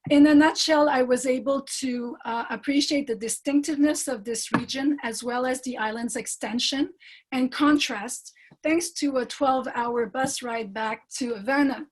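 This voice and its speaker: Husky woman from montreal, giving an academic talk, lots of ums and restarts, on a video call. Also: high voice, low-quality recording. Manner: measured, with frequent fillers and restarts. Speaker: doctoral fellow presenting research.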